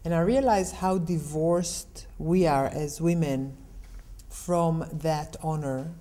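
A middle-aged woman from Israel answers interview questions at an unhurried pace. Her voice sits low and sounds mellow. She explains things in a calm, measured way. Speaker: interview guest.

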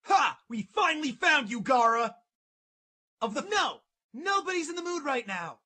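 A very high, bright ninja challenger voiced by a man, boastful and shouty. The pitch leaps wildly in a cartoon spoof. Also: very animated, normal speed, heavily acted. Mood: triumphant. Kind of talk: comedic parody dub.

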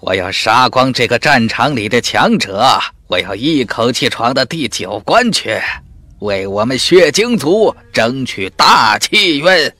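Bloodthirsty demon warrior, played by a male narrator: boastful, slow and forceful, for a fantasy audiobook. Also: mid-range voice, lively intonation, no room sound, heavily acted. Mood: gloating, savage. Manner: gloating, boastful.

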